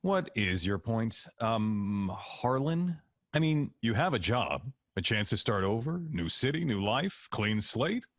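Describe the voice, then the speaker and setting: A man's voice: on the low side, big pitch swings, unhurried, fairly bright tone, no room sound, clean studio sound. A man playing an easygoing, no-nonsense security worker in an audio drama, casual and wry. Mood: firm. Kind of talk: conversational audio drama acting.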